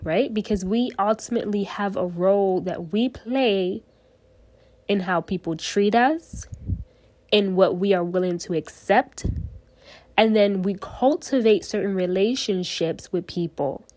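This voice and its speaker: A woman's voice: medium pitch, unhurried, a little mellow, no room sound. A young woman hosting a solo podcast, sounding nasal from a cold and speaking earnestly in short phrases into her phone. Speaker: podcast host.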